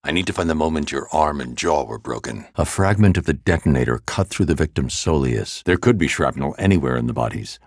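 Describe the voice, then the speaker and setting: A man voicing a grim, brooding male vigilante detective in a video game, speaking in a low, grave, clipped and methodical way. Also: animated, fairly quick, few pauses, no room sound, studio quality. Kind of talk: game voice acting. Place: recording studio. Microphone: close studio mic.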